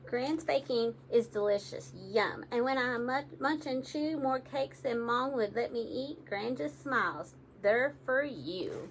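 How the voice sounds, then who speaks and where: A woman reading picture books aloud to young children in an animated, engaging way, often addressing her listeners directly. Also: fairly high pitch, a little slow, few pauses, slight background noise, poor audio.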